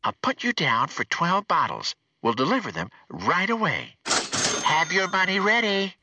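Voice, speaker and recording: A man's voice: high voice, very animated, moderate pace, distant mic, dull audio, noisy background, pitched above the performer's own voice. A man voicing a sly female mouse telephone operator in a children's audiobook, confiding and knowing, through a telephone effect. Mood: brisk, approving.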